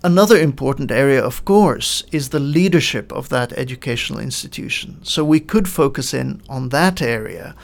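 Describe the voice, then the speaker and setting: A middle-aged man from Lebanon, a guest on a podcast, explaining his work in measured sentences with frequent pauses and fillers. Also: medium pitch, gravelly. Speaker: guest, coaching in education expert. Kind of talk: podcast interview answers.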